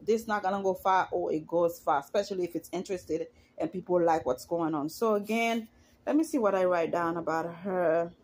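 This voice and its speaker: A woman from Haiti, giving her opinions on reality TV in a casual, chatty vlog and climbing in pitch when she gets indignant. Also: darkish tone. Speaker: YouTuber commenting on reality TV.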